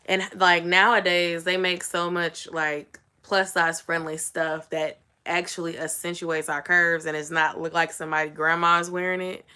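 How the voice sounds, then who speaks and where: A young woman with a somewhat raspy voice, chatting casually to her viewers and saying "y'all" and "like" a lot. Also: on the low side, little pitch movement, normal speed, hardly any pauses, pushed and bright. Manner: chatty, says "y'all" and "like" often. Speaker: vlogger. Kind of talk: casual chat to camera.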